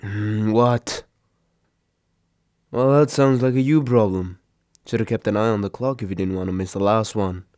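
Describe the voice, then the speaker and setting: A man's voice: on the low side, steady tone, measured pace, long pauses, darkish tone, no echo, close to an everyday voice. Man playing a grumbling, sarcastic young roommate, dry close sound. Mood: sleepy, irritated. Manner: grumbling, sighing, exasperated. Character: grumpy sarcastic young male roommate, secretly caring, woken from sleep. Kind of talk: intimate roleplay audio drama, one side of a phone call.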